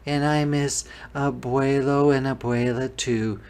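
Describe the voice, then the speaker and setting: Storybook mom read by a man: soothing, gentle, encouraging, slow-paced with some creak. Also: mid-range voice, level tone, close to an everyday voice. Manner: gentle, coaxing. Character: caring young boy's mother, soothing and upbeat.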